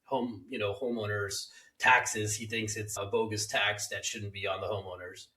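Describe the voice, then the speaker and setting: A man hosts a casual interview and shares his views at length. His voice is bright and forceful. The room around him is echoey. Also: lowish voice, little pitch movement, normal speed, recorded from a distance. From Hungary. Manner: opinionated, talks at length. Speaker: host.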